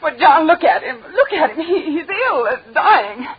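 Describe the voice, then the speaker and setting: A woman's voice: sits high, big pitch swings, normal speed. An actress playing an earnest, anxious wife who is leaving her husband, in an old-time radio drama. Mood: frantic. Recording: dull, noisy old radio recording.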